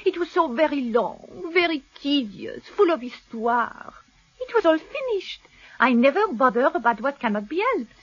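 An elegant French kept woman for a radio play. Her voice is high and swoops expressively, coquettish and airy. The recording is a little dull and muffled. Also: sing-song, normal speed. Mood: airy, searching. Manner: theatrical, coquettish. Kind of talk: radio drama acting.

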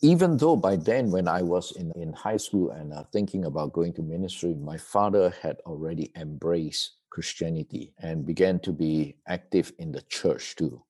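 A man's voice: low-pitched, normal speed, hardly any pauses, warm tone, breathy edge. A middle-aged man from Malaysia, a seminary president, telling his life story in an interview in a thoughtful way, often repeating words.

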